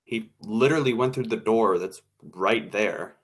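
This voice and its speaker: A man's voice: lowish voice, a little slow. A man playing a wry, bossy radio show colleague in an old-time radio drama, with a dry, casual, take-charge manner. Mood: matter-of-fact.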